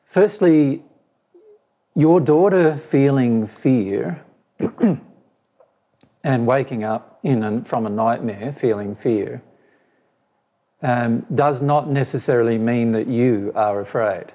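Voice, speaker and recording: A man in his forties, hoarse with his voice giving out, explaining earnestly and directly in a studio interview on a lapel mic. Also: medium pitch, takes it slowly, pauses often, warm tone. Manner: earnest, direct, repetitive phrasing. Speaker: spiritual teacher answering questions. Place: small studio with plain backdrop.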